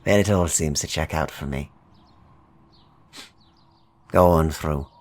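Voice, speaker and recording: A man's voice: a bass voice, slow, a little mellow. A man playing an older, friendly but watchful gate guard in a fantasy tabletop roleplay, dry and easygoing.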